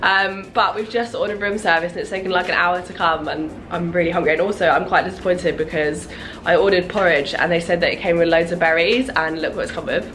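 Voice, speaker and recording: A young woman with a croaky voice, chatting casually to camera in a vlog and teasing her partner. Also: on the low side, steady tone, brisk, a bit bright, soft background music. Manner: chatty, teasing, laughs often. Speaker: vlogger.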